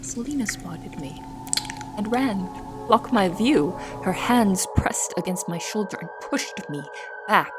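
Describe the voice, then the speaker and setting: A woman's voice: medium pitch, animated, takes it slowly. Woman acting haunted young cook, music underneath. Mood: hushed dread. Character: young female cook, haunted, secretive, anxious. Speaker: narrator and lead of an audio drama. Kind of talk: scripted first-person narration, audio drama.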